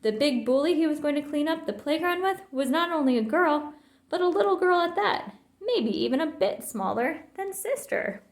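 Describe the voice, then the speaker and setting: A woman reading a children's story aloud in an expressive, theatrical way. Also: high voice, moderate pace. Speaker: storybook reader. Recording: roomy, echoing sound.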